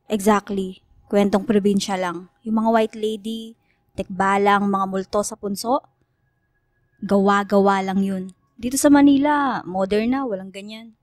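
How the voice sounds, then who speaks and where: A woman's voice: mid-range voice, fairly even intonation, a little slow, long pauses, no room sound, studio quality, natural voice. A young woman playing a skeptical, easily spooked student in a Filipino radio drama, teasing and emphatic. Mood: teasing, uneasy.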